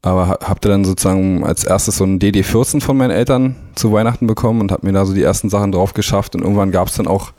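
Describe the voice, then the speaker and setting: A man's voice: low voice, fast, hardly any pauses, dry recording, clean studio sound. A young man from East Berlin who grew up in Brandenburg, a drummer chatting as a radio guest with easy laughs. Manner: relaxed, laughs often, anecdotal. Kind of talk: casual radio chat.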